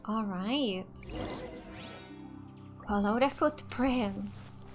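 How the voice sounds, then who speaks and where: A woman's voice: medium pitch, very slow, soft background music. A woman from Finland hosting a casual let's play, thinking aloud and reading game text without hurry. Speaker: gaming video host.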